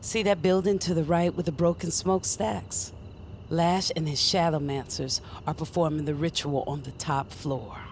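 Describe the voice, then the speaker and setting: Protective witch mentor, lowish voice, slow n earnest, audio drama, noisy ambience behind. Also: steady tone, darkish tone. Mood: guiding, grave. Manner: earnest, deliberate. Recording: noticeable ambient noise behind voice.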